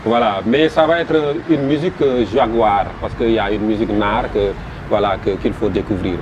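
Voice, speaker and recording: Senegalese man explaining, halting, noisy. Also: on the low side, dull audio. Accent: Senegalese French. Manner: halting, repeats words while explaining. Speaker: festival promoter. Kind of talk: interview answers. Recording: noisy background.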